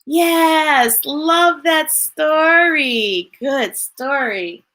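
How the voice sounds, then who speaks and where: A woman's voice: high voice, drawn-out words, bright, forceful tone. A woman reading picture books aloud to children, breaking off for chatty asides to viewers. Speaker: children's storytime reader.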